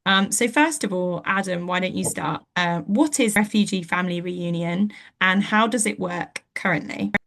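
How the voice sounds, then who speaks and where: A woman's voice: lowish voice, normal speed, few pauses, a bit bright. A young woman, a communications intern, hosting an interview with brief, friendly questions.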